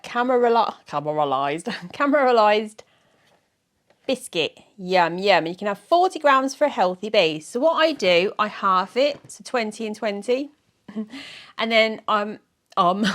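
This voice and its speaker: A middle-aged woman with a croaky, sore-throated voice, chatting casually to camera in a rambling, giggly, self-mocking way. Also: medium pitch. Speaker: weight-loss vlogger.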